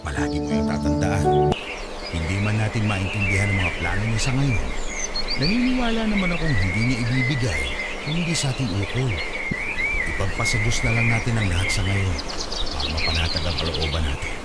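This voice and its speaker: Low, expressive resigned father. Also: a little fast. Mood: consoling. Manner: consoling, accepting, earnest. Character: patient, resigned older father, gentle and devout. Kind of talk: Tagalog radio drama acting. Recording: dramatic music playing behind the voice.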